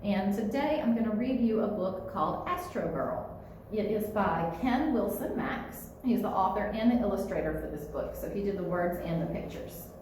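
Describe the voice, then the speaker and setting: Female children's librarian, read-aloud narration, expressive and animated, clear phrasing, heavily reverberant room. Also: mid-range voice, normal speed. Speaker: children's librarian reading storytime. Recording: very echoey room, faint noise.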